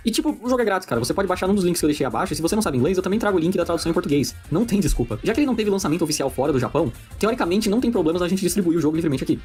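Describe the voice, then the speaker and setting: A man's voice: sits high, lively intonation, racing, hardly any pauses, a little mellow, soft background music. A man with a Brazilian accent narrating a scripted video review with informal, sweary, persuasive asides. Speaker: video essayist.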